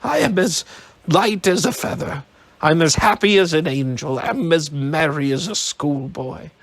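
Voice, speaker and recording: Male reader as an ecstatic old man, husky, leaping pitch, storybook. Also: animated, slow, a bit bright, dry recording, full character voice. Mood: giddy joyful. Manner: exclamatory, laughing and crying at once. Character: elderly miser overjoyed, giddy old man.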